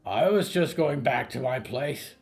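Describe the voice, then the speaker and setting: A man voicing a huge, friendly, lonely stranger who talks slowly and simply, in a scripted horror audio drama. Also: mid-range voice, animated, creaky, soft background music. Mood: sheepish.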